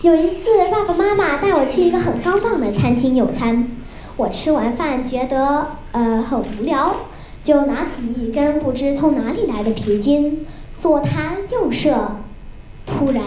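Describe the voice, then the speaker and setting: A young girl reciting a rehearsed funny story on stage in a careful, expressive storytelling manner. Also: high voice, a little slow. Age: child or young teen. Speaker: student storyteller. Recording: roomy with faint noise.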